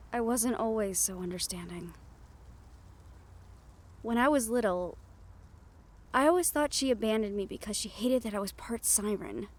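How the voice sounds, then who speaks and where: A woman playing a gentle, wistful half-siren, half-banshee who confides softly in an intimate roleplay audio, with reflective pauses. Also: mid-range voice, close to an everyday voice. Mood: wistful, tender.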